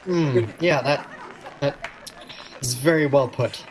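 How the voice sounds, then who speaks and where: A man's voice: mid-range voice, takes it slowly, some chatter behind, a little hiss or hum, close to an everyday voice, low-quality recording. A man playing an awkward, prickly shop clerk in a vintage-style radio romantic comedy, speaking in a hesitant, wry way. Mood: stung, prickly. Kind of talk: vintage radio play acting.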